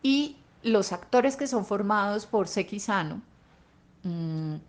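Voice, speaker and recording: A woman with a Colombian accent giving an unscripted expert explanation, didactic, pausing to search for words and adding short checking questions. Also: medium pitch, animated. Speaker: expert interviewee.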